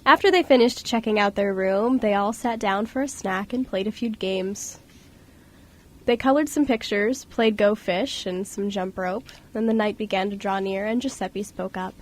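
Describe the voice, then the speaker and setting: A woman's voice: mid-range voice, normal speed. A woman reading scripted storybook narration as the narrator of an amateur radio drama.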